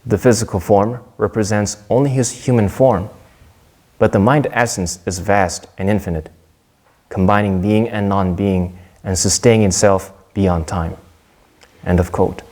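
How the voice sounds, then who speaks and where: A young man from Korea giving a prepared Dharma talk in a measured, earnest way, with a few small restarts. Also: on the low side, fairly even intonation, a little mellow.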